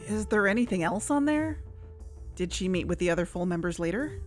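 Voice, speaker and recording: Casting: woman investigator, hesitant, lowish voice. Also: animated, brisk, a little mellow. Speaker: podcast investigator. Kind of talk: scripted audio drama dialogue. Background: music.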